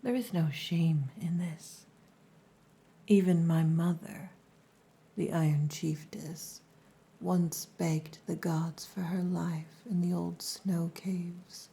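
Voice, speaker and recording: Woman playing a slow, low, whispering possessive orc woman. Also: fairly even intonation, slight background noise. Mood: soft, reassuring. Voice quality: breathy, hushed, often whispering. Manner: slow, deliberate, dominant, teasing. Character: possessive, gruff yet tender orc chieftain's daughter. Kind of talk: ASMR roleplay, whispered and softly spoken.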